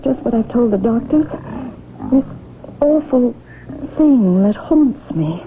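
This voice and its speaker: An actress playing a troubled married woman haunted by guilt, speaking hesitantly and haltingly in old-time radio drama style. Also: on the high side, animated. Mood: anguished. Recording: noisy, tinny old radio drama recording.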